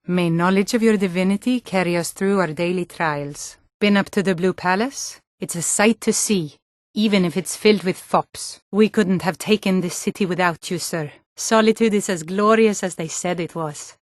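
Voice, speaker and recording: A woman voicing a sturdy, plain-spoken Nord townswoman in a fantasy game, speaking scripted lines in a measured way. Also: fairly low pitch, no echo, studio quality, lightly acted. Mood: solemn, caring.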